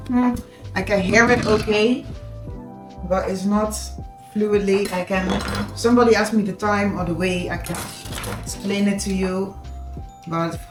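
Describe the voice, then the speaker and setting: A Dutch woman with a Dutch accent, whose first language is Dutch, chatting casually to her viewers while cooking in her home kitchen. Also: mid-range voice. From Netherlands. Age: adult with children. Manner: chatty, addresses viewers directly, mixes in Dutch words. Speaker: cooking vlogger. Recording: echoey kitchen with background music.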